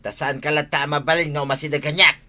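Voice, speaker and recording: A man's voice: a little high, level tone, fast talker, bright, forceful tone. A man playing a suspicious, defensive villager guarding his money in an Ilocano comedy radio drama, with an Ilocano accent.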